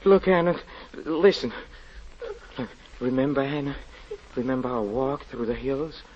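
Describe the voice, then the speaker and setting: An actor playing a haunted, grieving European husband confessing a tragedy, halting, stammering and pleading in theatrical 1940s radio drama style. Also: male voice, mid-range voice, darkish tone, lightly acted. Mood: frantic, pleading, then tender, coaxing. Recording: muffled, noisy old radio recording.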